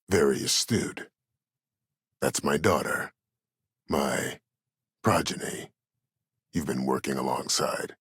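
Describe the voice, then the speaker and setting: An actor playing an imprisoned, disgraced admiral in a video game, a calculating schemer who speaks with cold courtesy in measured, formal, deliberate phrases. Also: male voice, rumbling low, fairly bright tone, slightly rough, dry recording, clean studio sound, exaggerated voice. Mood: grave, measured. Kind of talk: video game character dialogue.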